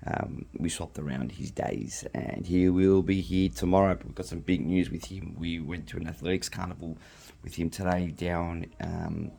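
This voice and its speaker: A middle-aged Australian man hosting a podcast alone, slightly hoarse from losing his voice, rambling casually with frequent ums and restarts. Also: deep voice, level tone, moderate pace, warm tone. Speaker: podcast host. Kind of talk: casual solo chat.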